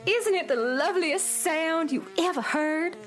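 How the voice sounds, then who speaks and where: A woman playing a vain, anxious, excitable little bee creature in a children's radio play, dramatic and self-admiring. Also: extremely high pitch, a little slow. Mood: vain delight. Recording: music behind the voice.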